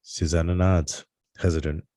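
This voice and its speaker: A man reads out screenplay stage directions as narrator. His voice is deep and mellow, with a dry, close sound. The delivery is halting, tripping on the odd word. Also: little pitch movement, dry recording. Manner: halting read-aloud with stumbles over words. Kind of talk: scripted narration at a table read.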